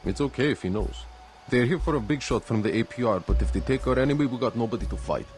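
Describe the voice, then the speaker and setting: An actor playing a talkative mercenary fixer in a video game, in clipped foreign-sounding grammar that drops articles. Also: male voice, mid-range voice, animated, fast, darkish tone, soft background music, natural voice. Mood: candid. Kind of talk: video game cutscene acting.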